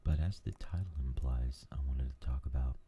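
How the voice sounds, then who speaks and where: A man hosting a new ASMR gaming channel, talking in a soft whisper and rambling with lots of fillers. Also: very deep voice, normal speed, dull audio, slight background noise.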